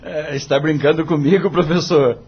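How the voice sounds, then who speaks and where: Discouraged young male lawyer, Brazilian accent, respectful pleading manner, slightly rough voice, muffled radio drama recording. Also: fairly high pitch, fairly even intonation, moderate pace. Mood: anxious, frustrated.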